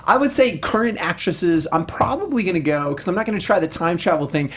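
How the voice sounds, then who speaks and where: A man's voice: high voice, lively intonation, a little fast, a bit bright, breathy edge. Man co-hosting, casual and emphatic. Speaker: review show co-host. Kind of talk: casual, opinionated film discussion.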